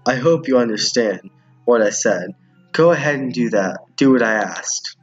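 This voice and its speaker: Guy reading a menacing principal boss in a visual novel, husky, bossy and cold, muffled mic, music behind. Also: mid-range voice, expressive, moderate pace, natural voice. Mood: threatening. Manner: commanding, threatening.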